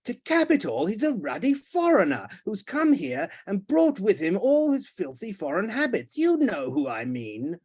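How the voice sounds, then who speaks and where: A man voicing a pompous, ranting old male duke who shouts and blusters. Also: fairly high pitch, big pitch swings, normal speed. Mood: blustering.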